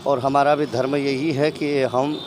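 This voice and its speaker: Guy answering interview question off the cuff, average pitch, noisy outside with vehicles. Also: little pitch movement, unhurried. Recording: noisy outdoor setting with vehicles.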